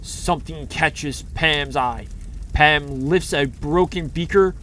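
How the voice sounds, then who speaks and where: A man's voice: fairly high pitch, takes it slowly, bright, forceful tone, slight background noise. A man narrating an audio drama with a campy, dramatic announcer delivery in the style of a 1960s serial. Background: music.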